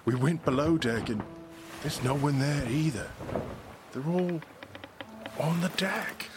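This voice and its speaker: A man playing a shaken, hesitant pirate crewman in an audio drama, halting and stammering as he reports. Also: on the high side, music in the background. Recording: clear background ship ambience noise.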